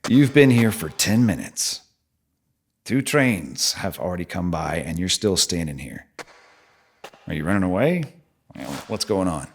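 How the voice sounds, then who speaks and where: Audio drama role, male actor: streetwise male stranger from the underground, lowish voice, highly animated, profane and probing. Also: measured pace, long pauses, husky, heavily acted. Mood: probing, casual. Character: streetwise, needling male stranger living down in subway.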